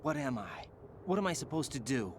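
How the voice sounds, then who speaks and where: A man plays a young soldier in a mid-range, earnest voice, questioning and unsure of himself. Ambient game noise hums clearly behind him. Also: mid-range voice, sing-song, a little fast, close to an everyday voice. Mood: troubled. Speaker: game character. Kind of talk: video game cutscene voice acting.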